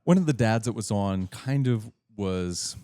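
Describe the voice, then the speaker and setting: A man in his thirties with a naturally deep voice, co-hosting a casual podcast and thinking back aloud with lots of fillers. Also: animated, a little slow, warm tone, clear, resonant tone, no room sound. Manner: loose, reflective, filler-heavy talk. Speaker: podcast co-host. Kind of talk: casual chat.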